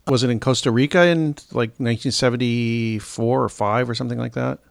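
A man hosting a casual podcast conversation, relaxed and thinking aloud. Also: medium pitch, fairly quick, creaky, dry recording, studio quality.

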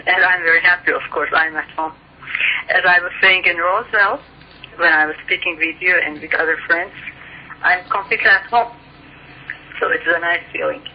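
A woman's voice: lowish voice, steady tone, normal speed. A woman from Turkey with a Turkish accent, a native Turkish speaker, explaining her work earnestly as an interview guest. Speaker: guest author and ufologist. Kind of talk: interview over a phone line. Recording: muffled phone-line recording with faint hiss.

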